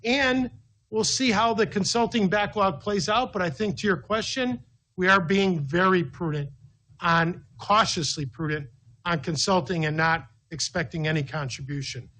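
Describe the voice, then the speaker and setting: A man's voice: sits high, normal speed, pushed and bright, low-quality recording. A man speaking as a finance executive on an earnings call, laying out numbered points in an emphatic, methodical way. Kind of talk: business briefing, answering an analyst with numbered points.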